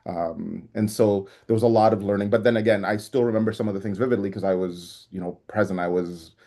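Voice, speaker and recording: Low, mellow-voiced man, reflective guest. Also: steady tone, brisk. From East Africa and Canada. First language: a Ugandan language. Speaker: podcast guest, track coach. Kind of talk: casual reflective interview talk.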